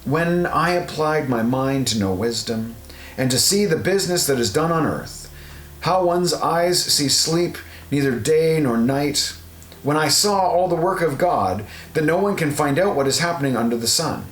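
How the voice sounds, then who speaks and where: A man's voice: fairly high pitch, expressive, moderate pace. Man reading scripture in an echoey room. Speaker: audiobook narrator reading scripture. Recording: roomy echo, guitar music underneath.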